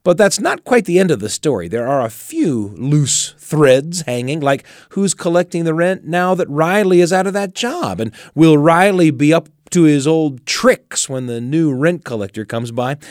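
A man hosting a radio storytelling show, speaking with expressive, theatrical emphasis. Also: on the high side, a little slow, dry recording, studio quality. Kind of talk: storytelling radio presenting and chat.

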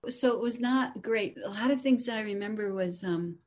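A woman guest storyteller sharing casual personal anecdotes in an animated, humorous way from her home. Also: medium pitch, moderate pace.

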